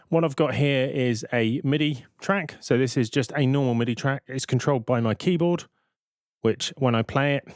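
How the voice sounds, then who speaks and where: A man giving a casual, matter-of-fact software tutorial as a plain-spoken voice-over. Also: mid-range voice, dry recording, clean studio sound. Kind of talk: casual tutorial narration over screen recording.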